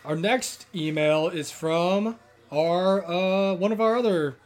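A man's voice: high-pitched, slow pace, soft background music. A man co-hosting a casual podcast, reading listener emails aloud with playful banter and small slips over names. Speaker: podcast co-host.

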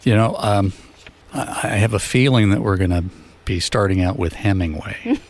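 An older man with a low, gravelly voice hosts a talk radio show. He speaks in a measured, deliberate way, like someone announcing and interviewing. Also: slight background noise. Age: 60s or older. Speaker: radio show host and lawyer.

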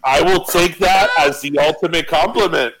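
A man co-hosting a podcast, easygoing and quick to laugh. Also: on the high side, rough recording. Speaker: podcast co-host. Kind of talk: casual interview.

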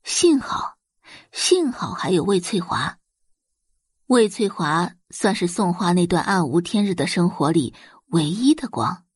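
A woman's voice: fairly low pitch, sing-song, measured pace, slightly rough, dry recording, clean studio sound. A woman narrating a scripted audiobook story in a dramatic storytelling style.

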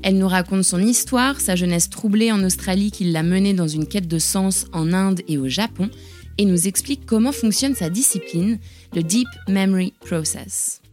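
A woman's voice: mid-range voice, moderate pace, faint music behind. A woman hosting a podcast, reading a scripted introduction. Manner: warm scripted reading, hesitant when asking. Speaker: podcast host. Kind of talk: scripted intro, then casual interview.

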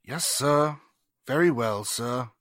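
An actor playing a polite, solicitous manservant in an old-time radio drama, speaking formally and hesitantly. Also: male voice, mid-range voice, slow pace, fairly bright tone, no echo, clean studio sound. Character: deferential, solicitous manservant to a restless master.

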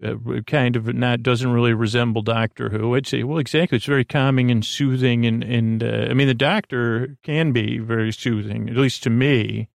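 A man hosting a sleep podcast, talking in a slow, meandering bedtime monologue full of fillers and restarts. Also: mid-range voice, steady tone, no room sound, studio quality. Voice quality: creaky, halting, many fillers and restarts.

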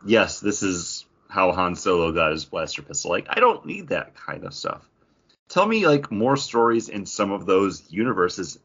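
A man's voice: low-pitched, big pitch swings, moderate pace, a bit bright, slightly rough, highs cut off. A man co-hosting a podcast in casual, rambling pop-culture chat with some mild swearing. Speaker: podcast co-host.

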